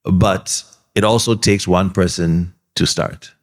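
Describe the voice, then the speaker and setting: A man answering questions on a panel, giving earnest, measured advice with frequent pauses and ums. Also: sits low, fairly even intonation. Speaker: alumnus panelist.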